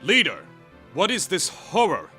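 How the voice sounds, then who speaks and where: An actor playing an indignant, smart-mouthed soldier hero in a comedy audio drama. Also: male voice, sits high, huge pitch swings, a little slow, bright, forceful tone, breathy edge, soft background music, full character voice. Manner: indignant, sassy, plain-spoken.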